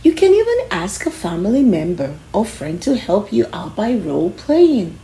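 Female storybook reader, mellow and unhurried, instructive with animated intonation. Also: mid-range voice, soft background music. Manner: instructive, emphatic on key phrases. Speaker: children's book reader. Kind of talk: read-aloud of a children's self-help book.

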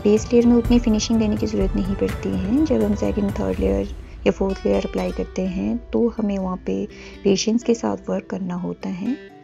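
A woman giving a calm, instructive voice-over for a step-by-step painting tutorial, pausing often with fillers. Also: mid-range voice, vocal fry, soft background music. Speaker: art teacher. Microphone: voice-over, camera on hands and canvas.

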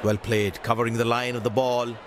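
A man giving brisk, measured cricket commentary lines for a video game. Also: mid-range voice, flat delivery. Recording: loud game noise behind.

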